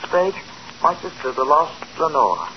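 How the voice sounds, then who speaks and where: A creepy invalid with a poison obsession, high-pitched and sing-song, old lo-fi radio drama. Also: male voice, big pitch swings, unhurried, background noise. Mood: sinister. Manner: relishing, sinister, fussy. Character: sickly pale young man, poison-obsessed invalid. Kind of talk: 1940s radio acting. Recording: dull lo-fi old radio broadcast.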